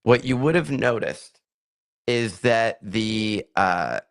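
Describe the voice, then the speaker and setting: A male co-host with a low voice talks in a dry, teasing manner. The recording is close and dry, with no room sound. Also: animated, slow, clear, resonant tone, no echo. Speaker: podcast co-host. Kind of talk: casual podcast banter.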